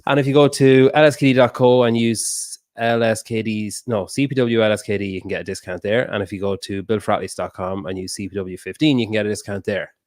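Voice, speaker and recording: A man's voice: lowish voice, fairly even intonation, quick pace, few pauses, darkish tone, no room sound, studio quality. A man hosting a podcast in loose, casual chat, rambling and swearing freely as he reads sponsors and talks with his guest. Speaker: podcast host.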